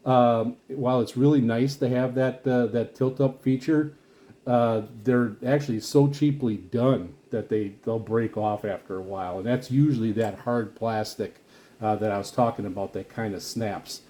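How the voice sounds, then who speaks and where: A man giving casual, unscripted product commentary as a video host, rambling haltingly and often repeating and restarting his phrases. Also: mid-range voice, fairly even intonation, warm tone. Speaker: video host reviewing radio gear.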